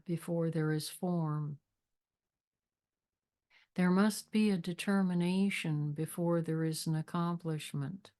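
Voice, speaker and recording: A woman leading a guided meditation with spiritual readings, speaking in a measured, deliberate way with long pauses. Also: fairly low pitch, darkish tone. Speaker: meditation leader, spiritual minister.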